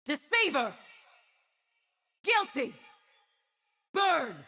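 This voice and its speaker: A proud holy warrior lady for a video game, slow n commanding, pitch swings a lot. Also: big pitch swings, vocal fry, bright, forceful tone, exaggerated voice. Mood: wrathful command. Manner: haughty, solemn, commanding delivery. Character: proud, righteous winged warrior woman, imperious judge. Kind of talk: dramatic video game voice acting.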